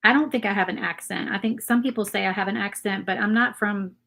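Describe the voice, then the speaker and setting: A woman's voice: medium pitch, steady tone, fast, gravelly, sharp tone. A woman from Virginia with an Appalachian accent, chatting casually and rambling to viewers while she paints on a craft livestream. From Virginia, USA. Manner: chatty, rambling, reads viewer comments aloud. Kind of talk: casual live craft stream chat.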